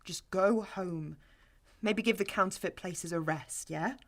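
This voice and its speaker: A woman's voice: lowish voice, measured pace, fairly bright tone. An actress playing an uneasy, frightened young woman pleading to get away in an audio drama. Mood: uneasy, placating. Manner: tense, swearing when pushed. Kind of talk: naturalistic audio drama acting.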